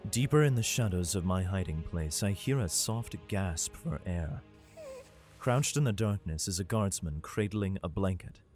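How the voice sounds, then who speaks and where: A man playing a weary father who narrates a science fiction audio drama in the first person, grave and measured. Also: low-pitched, lively intonation, a little mellow. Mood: hushed, somber. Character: weary father fleeing with his son through a besieged hive city. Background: music.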